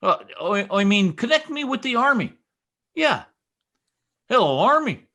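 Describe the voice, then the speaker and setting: A man's voice: very high voice, very animated, a little slow, pushed and bright, full character voice. A man playing a blustery, boastful small-town husband in old-time radio comedy, with folksy exclamations. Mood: frantic excitement. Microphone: video call microphone. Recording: video call recording.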